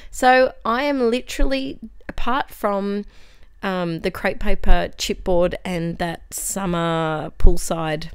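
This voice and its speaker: A young Australian woman with a hoarse, croaky voice, chatting casually over her scrapbooking video with lots of fillers. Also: on the low side, no room sound. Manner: chatty, friendly, tangents and fillers. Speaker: scrapbooking channel host. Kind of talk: voice-over for a craft process video. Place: home craft desk.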